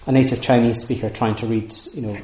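A man's voice: medium pitch, steady tone, fast, mellow. Male lecturer in an echoey room. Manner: thoughtful, many restarts and hedging fillers. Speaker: lecturer on Sanskrit texts. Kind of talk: informal lecture with audience questions. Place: room with audience.

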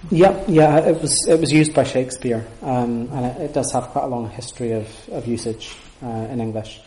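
A man's voice: medium pitch, normal speed, dark tone, reverberant, low-quality recording. A man giving an informal lecture and answering audience questions, thoughtful and full of restarts. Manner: thoughtful, many restarts and hedging fillers. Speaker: lecturer on Sanskrit texts. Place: room with audience.

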